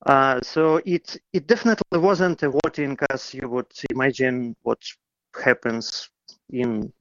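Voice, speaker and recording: A man's voice: mid-range voice, steady tone, a little slow, fairly bright tone, no echo, dull audio. A man from Ukraine calling in to a radio talk show, speaking hesitantly with many fillers and restarts over an internet call line. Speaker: caller.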